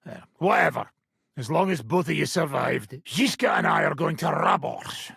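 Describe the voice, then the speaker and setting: Gravelly old robber baron. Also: male voice, a little high, expressive, moderate pace, a bit bright, no room sound, studio quality, exaggerated voice. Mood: gruff, matter-of-fact. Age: older. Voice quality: rough, raspy, gravelly. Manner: blunt, profane, sardonic. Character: foul-mouthed old wanted robber baron, gruff war-band leader. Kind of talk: video game character dialogue.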